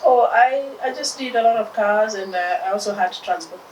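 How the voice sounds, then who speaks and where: A woman's voice: medium pitch, moderate pace, dull audio. Kenyan lady in her 50s chatting away, sounds far off, roomy echo, crickets buzzing behind. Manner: animated, mixes in Swahili phrases, laughs often. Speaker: interview guest. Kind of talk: casual interview chat. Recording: distant mic, echoey room, loud insect noise.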